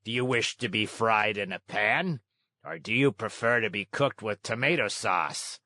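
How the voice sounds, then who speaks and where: A man's voice: on the low side, moderate pace, sharp tone, dry recording, studio quality. A man voicing an ugly, greedy green fisherman monster in a children's storybook, savoring his words with pompous courtesy. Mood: mock courteous. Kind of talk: children's storybook read-aloud.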